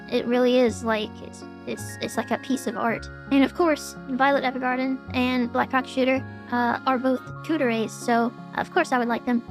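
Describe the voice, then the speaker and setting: A woman VTuber answering viewer questions in a casual vlog, with a gravelly, strained voice and a throat getting sore, rambling with many fillers and restarts. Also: sits high.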